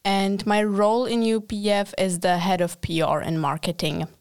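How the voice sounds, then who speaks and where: Smooth-voiced young woman. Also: medium pitch, flat delivery, moderate pace, darkish tone, no room sound. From Czech Republic, Prague. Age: young adult. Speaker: podcast guest, student board member. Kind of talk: casual interview answers.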